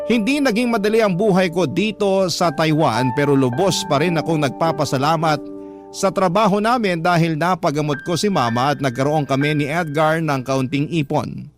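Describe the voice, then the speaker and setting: A man's voice: fairly high pitch, lightly acted. A man reading a first-person radio love story as a scripted narration, with music behind him. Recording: music bed under the voice. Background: background music.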